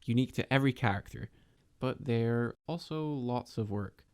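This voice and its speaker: A man giving a scripted voice-over about his own 3D animation project, in a measured, explanatory and lightly self-deprecating manner. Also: medium pitch, a little mellow, smooth. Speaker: video creator and 3D animator.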